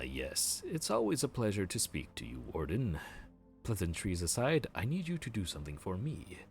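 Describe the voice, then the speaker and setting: Deep, slow grim reaper, as a man plays him. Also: full character voice. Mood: exasperated. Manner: exasperated, sarcastic bureaucrat. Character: weary male grim reaper running the underworld queue. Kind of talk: audio roleplay.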